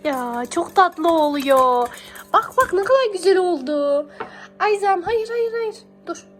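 A young mother with a high-pitched voice chats casually to her small child. She coaxes and praises with affectionate pet names, often repeating her phrases. Soft music plays underneath her voice. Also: lively intonation, a little slow. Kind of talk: casual coaxing talk to a small child while baking.

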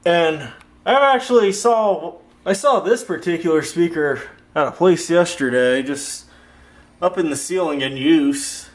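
Higher-voiced young man vlogging casually. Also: measured pace. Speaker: video host demonstrating a home intercom system. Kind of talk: casual handheld vlog demonstration. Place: bedroom and home workshop. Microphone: handheld camera or phone, held by the speaker.

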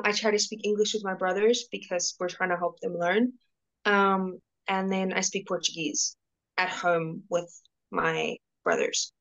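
A woman's voice: medium pitch, a bit bright, low-quality recording. A young woman from South Africa, a guest on a video call, talking casually and at length with many fillers and restarts.

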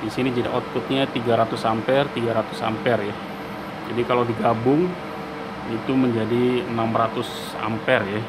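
A man's voice: mid-range voice, little pitch movement, moderate pace. A man with an Indonesian accent giving a casual, explanatory product demonstration, repeating key points. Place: indoor workshop. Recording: clear workshop hum and ambient noise.

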